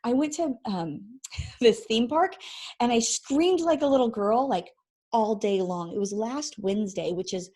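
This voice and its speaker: A woman in her forties with a croaky, hoarse voice, hosting a chatty faith-based parenting vlog, laughing often and drifting into tangents. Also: a little high, animated, measured pace, dark tone. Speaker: vlog host and ministry founder. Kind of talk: casual faith-based parenting talk to camera. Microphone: microphone she sometimes bumps.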